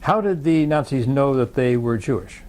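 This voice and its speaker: A man conducting an oral history interview, asking questions gently and offering prompts. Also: mid-range voice, lively intonation, normal speed.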